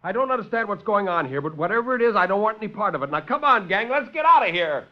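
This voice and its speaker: A man's voice: fairly high pitch, fairly even intonation, brisk, voice pushed up, close to an everyday voice. A man playing a tough-talking, wisecracking lieutenant in a 1940s radio drama. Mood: defiant. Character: brash, defiant male military lieutenant hero. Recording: dull old radio broadcast.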